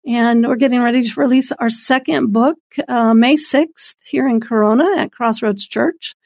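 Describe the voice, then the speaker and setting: A woman's voice: fairly high pitch, normal speed, creaky, darkish tone, no echo, clean studio sound. A woman in her fifties giving an earnest, devout personal testimony as an interview guest. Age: 50s. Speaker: guest, author and homeschooling mother.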